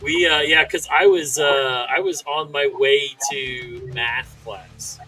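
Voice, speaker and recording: Male podcast co-host, late 30s, forceful bright tone, loose profane storytelling, faint music underneath. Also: on the high side, fairly even intonation, slow, rough recording. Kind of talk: casual profane chat.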